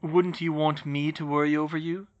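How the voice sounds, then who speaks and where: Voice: medium pitch, lively intonation, normal speed, a bit bright, pitched above the performer's own voice, close to an everyday voice. A narrator doing a worried young wizard. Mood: concerned. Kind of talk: audiobook reading of fan fiction. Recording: slightly muffled recording.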